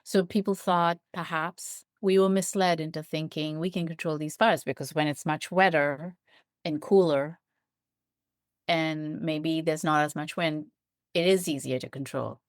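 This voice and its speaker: A woman's voice: sits low, steady tone, unhurried, no echo, studio quality. A woman giving thoughtful, explanatory answers as an expert guest in an interview. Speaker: interview guest, biologist and writer.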